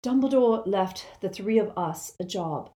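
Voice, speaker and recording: A woman voicing a burdened, evasive teenage boy in an audiobook, halting and strained with urgency. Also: medium pitch, expressive, warm tone, lightly acted. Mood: careful, reluctant. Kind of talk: audiobook character voice.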